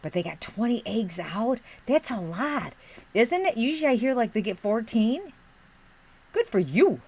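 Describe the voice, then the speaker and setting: A woman hosting a livestream in a homey mom persona, rambling and motherly as she answers her chat. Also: on the high side. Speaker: livestream host reading chat.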